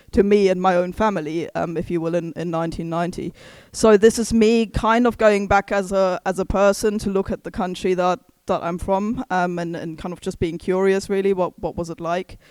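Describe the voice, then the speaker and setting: Measured woman historian, smooth voice. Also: on the low side, darkish tone, no echo. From East Germany. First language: German. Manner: thoughtful, hedging with many fillers and restarts. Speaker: historian and author. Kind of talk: book launch talk.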